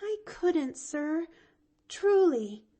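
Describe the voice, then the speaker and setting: A woman's voice: animated, takes it slowly, exaggerated voice. A woman reading an audiobook, voicing an eager little girl who stammers and pleads earnestly. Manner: stammering, eager, high and bright.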